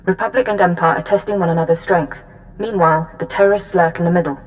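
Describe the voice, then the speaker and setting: A woman playing a brisk female intelligence handler in a video game, giving crisp, businesslike briefings. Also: lowish voice, fairly even intonation, natural voice, rough recording.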